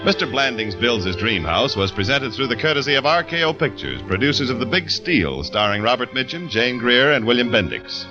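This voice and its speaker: A man announcing on old-time radio, reading sponsor copy and credits in a smooth, formal delivery. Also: medium pitch, very animated, fairly quick, hardly any pauses, dull audio. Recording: old radio broadcast with music.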